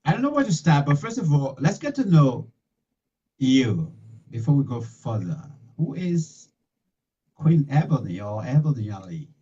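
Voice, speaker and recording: A middle-aged man hosting a live radio interview, talking in halting bursts with many fillers and restarts, recorded in a small home studio. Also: mid-range voice, sing-song, mellow, slightly rough. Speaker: talk show host. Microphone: studio mic with headphones. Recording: distant, dull lo-fi sound.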